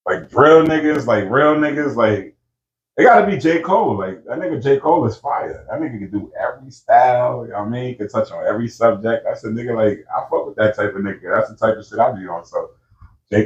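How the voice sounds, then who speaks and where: A man's voice: fairly low pitch, lively intonation, brisk. A man, a rapper being interviewed, talking in a laid-back, slangy way full of fillers, among friends in an indoor room. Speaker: rapper guest. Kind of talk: casual interview chat. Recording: roomy, distant, dull lo-fi sound.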